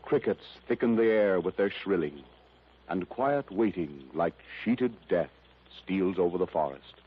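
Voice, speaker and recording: A man's voice: low-pitched, expressive, measured pace, a little hiss or hum. A man narrating a 1930s radio adventure serial in a solemn, scripted style. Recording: muffled old radio transcription.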